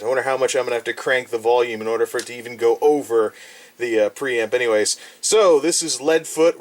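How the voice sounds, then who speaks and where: A man talking off the cuff as a gadget vlogger, rambling and wry with playful asides. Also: mid-range voice. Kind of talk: casual off-the-cuff product demo. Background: music playing from speakers at times.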